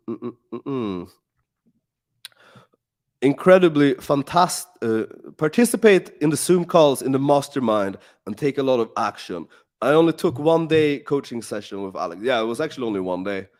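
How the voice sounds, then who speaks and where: A man's voice: medium pitch, sing-song, normal speed. A man hosting a live question-and-answer stream, talking casually with lots of swearing and filler words. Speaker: live Q&A host. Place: in Warsaw.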